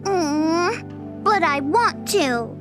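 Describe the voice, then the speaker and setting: Doting, submissive young woman servant for audio drama: extremely high, slow, fawning delivery. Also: expressive, over music, full character voice. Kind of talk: audio drama character acting.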